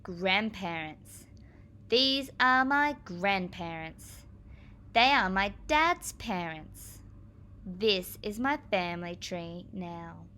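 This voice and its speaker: A woman teacher reading aloud to young children, explaining as she goes in a clear, expressive manner. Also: medium pitch.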